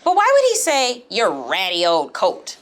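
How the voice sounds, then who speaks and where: A woman's voice: medium pitch, huge pitch swings, a little slow, a little hiss or hum. A woman teacher asking questions during a classroom read-aloud lesson.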